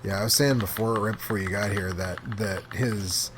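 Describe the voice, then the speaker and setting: A man chatting casually as he plays a game, commenting in rambling, halting asides. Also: on the low side, fairly even intonation. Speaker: host playing an arcade game. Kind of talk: casual gaming chat and commentary. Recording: clearly noisy room, clicking controls and keys.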